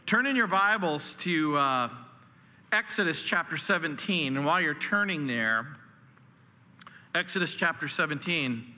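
An American man in his sixties from New Orleans, preaching as a guest pastor with deliberate pauses and folksy, dry humour. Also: fairly high pitch, expressive, pushed and bright. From New Orleans, southern United States. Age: 60s. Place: large indoor hall. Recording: echoey hall, long reverb.

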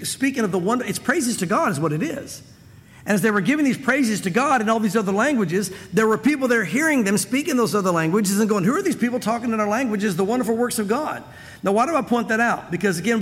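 A man's voice: high-pitched, fairly bright tone, big-room sound. A man preaching a conversational sermon, full of asides and restarts, in a church. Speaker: pastor. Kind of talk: expository sermon.

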